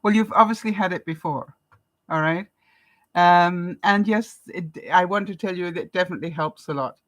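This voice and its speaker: A woman's voice: fairly low pitch, moderate pace, dry recording. Congested older woman chatting live. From Canada. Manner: chatty, with frequent ums and soft laughs. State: stuffed up, congested nose. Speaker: vlogger hosting a live broadcast. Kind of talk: casual live-stream chat.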